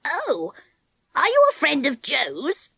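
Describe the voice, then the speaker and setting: A woman doing a shrill, hot-tempered little pixie. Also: wildly swooping pitch, slow, vocal fry, no echo, full character voice. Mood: sheepish. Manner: shouting in a shrill rage.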